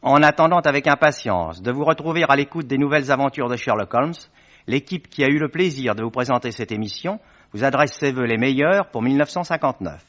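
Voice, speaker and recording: A man presents in a ceremonious, courteous 1950s radio manner. His voice is medium-pitched and the speech is formally scripted. The recording is dull and lo-fi. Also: lively intonation, a little fast, fairly bright tone.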